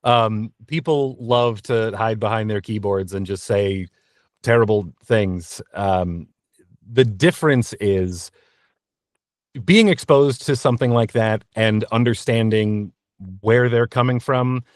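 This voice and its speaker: Guy being interviewed, casual and candid, thinks out loud, very dry clean sound. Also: lowish voice, slow pace, no room sound. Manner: candid, informal, thinking aloud. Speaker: voice actor, interview guest. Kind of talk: casual conversational interview answers.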